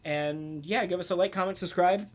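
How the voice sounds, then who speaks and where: A podcast host talking casually in an animated, sarcastic way, with some swearing. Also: mid-range voice, moderate pace. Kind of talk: casual talkback chat.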